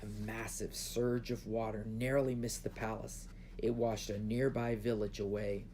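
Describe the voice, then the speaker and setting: A man's voice: on the low side, level tone, normal speed, darkish tone, slight background noise. A man reading his own children's book aloud as a storybook narrator.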